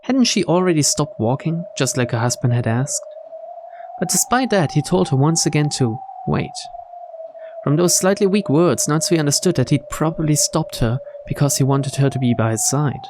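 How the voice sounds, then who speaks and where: A man's voice: fairly high pitch, lively intonation, normal speed, background noise. A man doing a game playthrough, reading visual novel narration aloud and adding his own asides. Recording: game music behind the voice.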